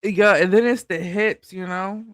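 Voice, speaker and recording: A man's voice: high-pitched, steady tone, measured pace, fairly bright tone. A man hosting a casual commentary channel, talking loosely with a slight lisp and a bit of a stutter. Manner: stutters a little, loose and chatty.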